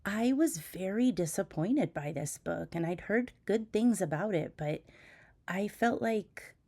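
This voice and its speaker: A woman's voice: mid-range voice, animated, measured pace, a little mellow. A woman with a stuffy head cold, a teacher chatting casually about her reading journal, with frequent ums and restarts. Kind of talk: casual chat and voiceover. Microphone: voiceover mic.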